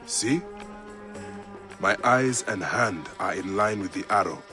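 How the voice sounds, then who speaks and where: An actor playing a calm hunter father in a video game, measured and instructive. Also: on the low side, music in the background. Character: calm, instructive hunter father guiding his young child. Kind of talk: naturalistic video game acting.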